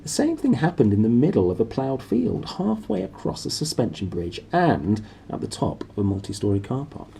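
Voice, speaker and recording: A man reading a children's novel aloud as an animated storyteller. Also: mid-range voice, moderate pace, gravelly, hardly any pauses, slight background noise. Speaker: storybook reader.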